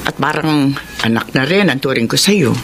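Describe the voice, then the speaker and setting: A woman's voice: very deep voice, lively intonation, moderate pace. Firm but caring older landlady in a pinoy radio soap, noisy audio. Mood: affectionate. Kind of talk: Filipino radio drama acting. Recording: noisy radio drama broadcast sound.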